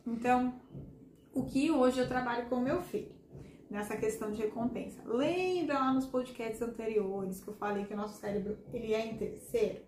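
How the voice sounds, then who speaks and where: A hoarse woman hosting a casual podcast conversation, speaking earnestly and addressing listeners directly. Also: a little high, moderate pace, a little mellow. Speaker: podcast host and hypnotherapist. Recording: echoey room with faint background noise.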